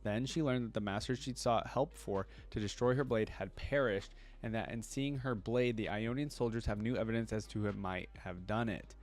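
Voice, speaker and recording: A man with a fairly low voice makes a reaction video. He moves between reading reference text aloud and casual commentary, thinking through details as he goes. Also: moderate pace, few pauses. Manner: thinks aloud, interjecting between read passages.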